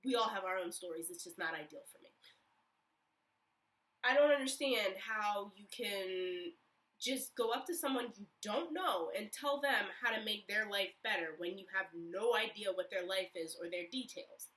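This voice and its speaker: A woman's voice: medium pitch, moderate pace, a bit bright, far from the mic, low-quality recording. A young woman telling a story in a casual vlog, chatty and rambling with lots of fillers. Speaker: vlogger.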